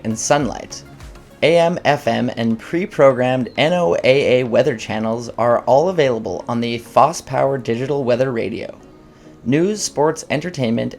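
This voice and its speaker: Male narrator for scripted product countdown: creaky mid-range voice, formal measured phrasing, light music bed. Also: medium pitch. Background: background music.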